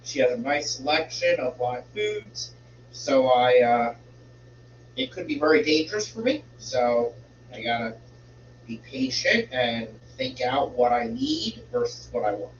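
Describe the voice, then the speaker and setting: Slow, stuffy-nosed man on a home stream. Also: mid-range voice, big pitch swings. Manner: slow, rambling, fiddles with settings while talking. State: congested, slightly stuffy. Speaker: live stream host. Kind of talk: casual live stream chat. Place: home room with a fish tank. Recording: roomy, distant, dull home stream with hum.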